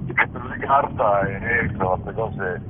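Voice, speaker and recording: A man answering as a theatre director in a spontaneous interview, halting and searching for words. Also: on the low side. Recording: noisy narrow-band phone-like line.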